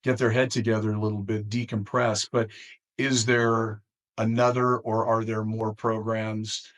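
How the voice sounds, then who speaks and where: An American man hosting an interview, speaking in a measured, deliberate conversational way. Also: lowish voice, little pitch movement, a bit bright. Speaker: interview host.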